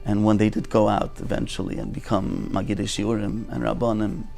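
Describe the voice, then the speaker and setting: A man's voice: fairly low pitch, level tone, a little fast, creaky, a little mellow. A man giving a testimonial for a community video. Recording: music playing behind the voice.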